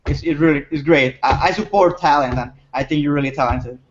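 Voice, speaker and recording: A man hosting an interview who chats in a friendly, excited way and often calls his guest "man". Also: on the high side, brisk, highs cut off, slight background noise. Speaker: interview host. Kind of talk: casual interview chat.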